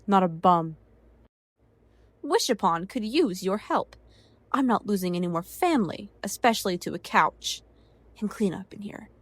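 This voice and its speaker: A woman's voice: medium pitch, dry recording, lightly acted. A woman voicing a stern, weary cartoon uncle, a blunt and dry family man, in a comic dub.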